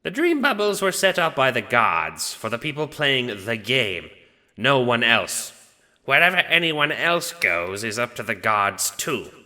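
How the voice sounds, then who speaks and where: Audio drama role: big dim lug of a death god played by a man, pitched up, swooping, bitter and crude, heavy reverb. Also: sing-song, a little slow, bright, forceful tone, exaggerated voice. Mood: irritated, blunt. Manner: blunt, slangy, casually profane.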